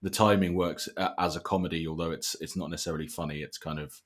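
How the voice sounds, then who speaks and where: A man hosting a podcast with casual banter, speaking haltingly and often repeating his words. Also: sits low, steady tone. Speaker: podcast host.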